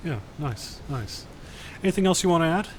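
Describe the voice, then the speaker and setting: A man's voice: a little high, animated, moderate pace, slight background noise, poor audio. A man hosting a casual interview, asking chatty questions full of fillers. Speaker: interviewer, community host.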